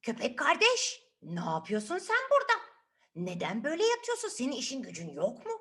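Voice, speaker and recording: A woman's voice: sits high, wildly swooping pitch, brisk, fairly bright tone, breathy edge, pitched above the performer's own voice, heavily acted. A woman voicing an aging donkey, a friendly and eager dreamer with a bubbly, exclaiming manner, in a children's fairytale. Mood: curious.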